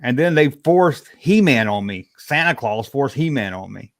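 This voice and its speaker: A middle-aged man from the American South chats as a guest on a livestream, with a clear Southern accent. His talk is folksy and colloquial, in a medium-pitched voice, and the sound is close and dry. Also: expressive, moderate pace, no room sound. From Georgia, US South. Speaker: livestream guest, toy collector. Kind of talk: casual chat, answering viewer questions.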